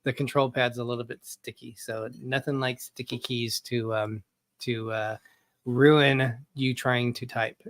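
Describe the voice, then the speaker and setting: A man's voice: mid-range voice, little pitch movement, a little slow, fairly bright tone. A man from England co-hosting a casual live show, rambling and chuckling a lot as he talks. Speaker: co-host.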